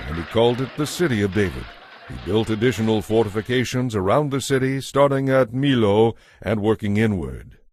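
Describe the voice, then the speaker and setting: A man narrating scripture from a script in a measured, solemn manner. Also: on the low side, slight background noise. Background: soft music.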